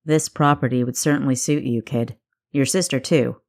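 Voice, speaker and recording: A seasoned spymaster , voiced by a woman narrator, speaks in a low register. He is a dry, teasing mentor who measures out his words in audiobook dialogue. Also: steady tone, moderate pace, a little mellow. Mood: wry, measured.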